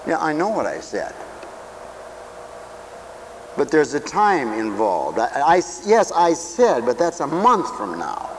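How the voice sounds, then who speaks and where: A man preaching a sermon for radio, who swings from loud, stretched-out lines to quiet asides and acts out other people's voices. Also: fairly high pitch, wildly swooping pitch, slow pace, pauses often, background noise. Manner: dramatic swings from shouting to near whisper, acts out voices.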